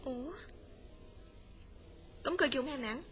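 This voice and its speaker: Actress as high-voiced, concerned Cantonese female friend. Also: takes it slowly, a bit bright, faint music behind. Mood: inquisitive. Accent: Hong Kong Cantonese. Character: concerned, inquisitive female friend of a troubled dreamer. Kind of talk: Cantonese radio horror drama acting.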